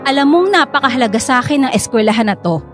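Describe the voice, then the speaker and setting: A woman's voice: high-pitched, animated, fast talker, soft background music. A woman playing a stern, disappointed school principal in a radio melodrama, formal and reproachful. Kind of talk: melodramatic radio drama acting.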